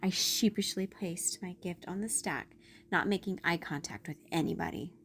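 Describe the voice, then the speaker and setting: A woman voicing an anxious, self-doubting cool bean in a children's storybook, telling the tale with dramatic, expressive delivery. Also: lowish voice, normal speed, a little hiss or hum. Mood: nervous dread. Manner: dramatic, animated, sighing.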